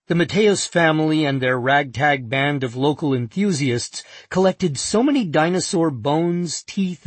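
A man narrating a first-person nonfiction audiobook in a measured, vivid storytelling manner. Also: on the high side, husky, dry recording.

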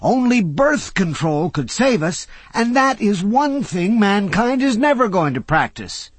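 Man voicing old doomsayer, high and loud, husky, grandiose, gleeful. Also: very animated, measured pace, dry recording, full character voice. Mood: lecturing. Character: cranky old man prophesying mankind's doom with glee.

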